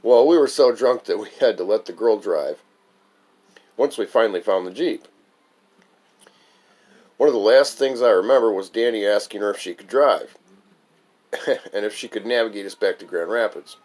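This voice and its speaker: Guy with a kinda low voice reading out his own life story, bit wry. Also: moderate pace, long pauses, darkish tone. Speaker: author reading own memoir. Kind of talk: memoir recitation, narrated reading.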